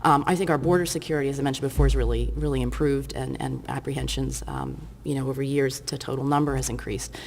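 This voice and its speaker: A woman's voice: a bass voice, fairly even intonation, fast, creaky, hardly any pauses, darkish tone, big-room sound, slight background noise. A woman speaking as a panelist at a public forum, earnest and full of ums and restarts.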